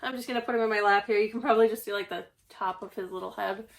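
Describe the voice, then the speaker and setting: A young woman with a slightly hoarse voice, recovering from a cough, chatting casually at home about a stack of books. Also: mid-range voice, steady tone, racing. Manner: chatty, self-deprecating, rambling. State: hoarse, getting over a cough. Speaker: book channel host. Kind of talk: casual book haul vlog. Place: at home, indoors.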